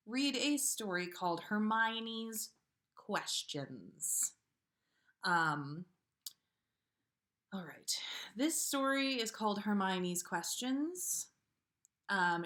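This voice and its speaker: A woman hosting a story livestream, speaking in an informal, expressive way as she chats and reads fiction aloud. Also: mid-range voice, slow pace. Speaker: podcast host reading listener stories. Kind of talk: casual livestream chat, then storybook read-aloud.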